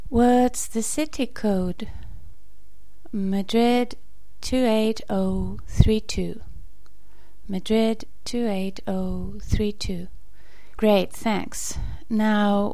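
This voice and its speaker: A woman reading both sides of short English lesson dialogues and repeating each line clearly for learners. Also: mid-range voice, mellow, no room sound. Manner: slow, carefully spoken repetitions. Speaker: English teacher.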